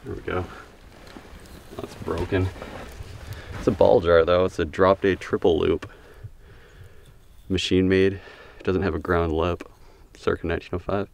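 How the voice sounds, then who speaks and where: A man calmly narrating a bottle dig outdoors, reading out each find in a matter-of-fact way. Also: low-pitched, unhurried, gravelly, pauses often, far from the mic. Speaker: bottle digger. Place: outdoors at an excavation pit.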